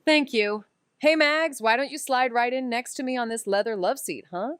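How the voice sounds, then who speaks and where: A wry young woman investigator narrating a noir tale, played by an actress. Her tone is smooth and clear. It is recorded close and dry. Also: on the high side, normal speed, a bit bright, no echo, natural voice. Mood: casual. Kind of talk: noir audio drama narration.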